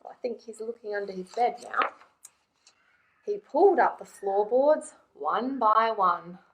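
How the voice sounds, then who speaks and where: A woman reading a picture book aloud to young children in an expressive way, often turning to them with questions. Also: mid-range voice, unhurried, low-quality recording. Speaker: children's librarian and storytime presenter.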